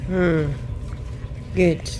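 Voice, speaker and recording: Woman doing soft in-character gameplay commentary, low and unhurried, music going behind her. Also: fairly even intonation. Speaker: gameplay host role-playing a drow ranger.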